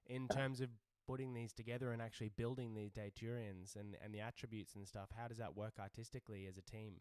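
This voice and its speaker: An enthusiastic man with a mellow, smooth voice asks questions in a casual chat. He swears freely. The sound is dry and close. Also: on the low side, little pitch movement, fast, no echo.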